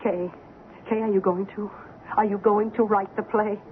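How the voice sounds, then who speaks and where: A woman's voice: mid-range voice, steady tone, normal speed, close to an everyday voice. An actress playing a sensible woman who recounts her housemate's eerie obsession in an earnest, suspenseful radio drama style. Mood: curious, questioning. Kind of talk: old-time radio drama acting. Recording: noisy, narrow-band old radio recording.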